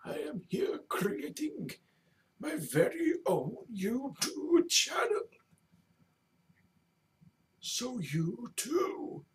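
Very high-pitched, theatrical old storyteller. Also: male voice, slight background noise, heavily acted. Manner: dramatic, halting, grandiose. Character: whimsical, doddering, very old male storyteller, theatrical. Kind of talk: comedic in-character storytelling to camera. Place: small home room, indoors. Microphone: webcam at desk distance. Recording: distant webcam microphone.

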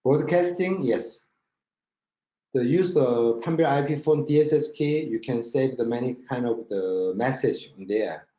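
Korean accent guy doing a slide webinar, halting english, soft mellow tone, dull roomy audio. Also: mid-range voice. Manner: halting non-native English with frequent check-in words. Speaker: company marketing director presenting a webinar. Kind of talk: product presentation over slides. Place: indoor room, online webinar with screen share.

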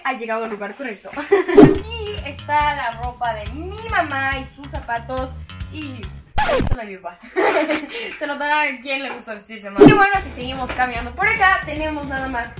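A woman's voice: sits high, distant mic. A young woman giving a chatty, laughing house tour vlog to her handheld camera, walking through a large house. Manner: bubbly, chatty, often laughing. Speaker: vlogger. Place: large house indoors, rooms with hard surfaces. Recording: echoey rooms with music underneath.

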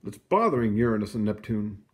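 Man as a declamatory old-time astronomer, lively pitch. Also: a little fast. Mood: pondering. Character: self-assured male astronomer of the early 1900s.

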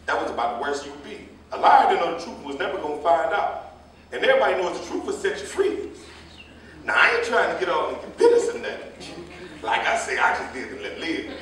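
An echoey stage drama features a man playing an older jitney driver who moralizes and meddles. His folksy, rambling speech swings widely in a high register. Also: fairly bright tone. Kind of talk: live staged play reading. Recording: echoey, distant stage recording with faint noise.

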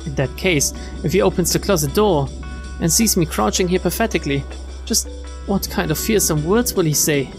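A woman's voice: lowish voice, normal speed, darkish tone. Woman reading a visual novel over music. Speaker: streamer reading game text aloud. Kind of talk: expressive visual novel read-aloud. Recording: game music behind the voice.

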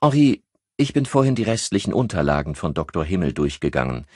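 A man's voice: low voice, very animated, brisk, a little mellow, breathy edge, dry recording, studio quality, exaggerated voice. A man voicing a composed, educated countess who explains things precisely, like a medical expert, in an audiobook. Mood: matter-of-fact.

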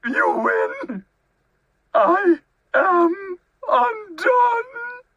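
A storybook reader voicing a gruff, grumpy bear who is easily distraught, in a dramatic, blustering manner. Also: very high voice, very animated, extremely slow, bright, forceful tone, dry recording, lo-fi sound, pitched above the performer's own voice, heavily acted. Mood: tearful, defeated.

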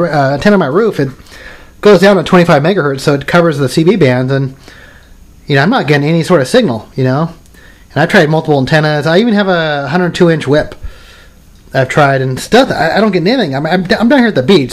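A man in his thirties casually showing off radio gear at his home workbench, rambling with many restarts and fillers. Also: fairly high pitch. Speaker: radio hobbyist video maker. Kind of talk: casual show-and-tell demo.

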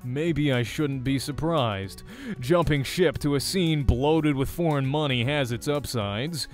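A man's voice: medium pitch, measured pace, soft background music, natural voice. A man voicing an arrogant, condescending young male rival gamer in a visual novel. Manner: condescending, smug.

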